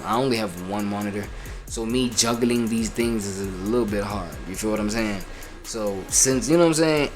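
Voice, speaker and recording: Lowish guy streaming, chill rambly talk, lots of "you know what im saying", music going underneath. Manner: laid-back, rambling with constant fillers. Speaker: streamer reacting to clips. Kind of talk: casual reaction commentary.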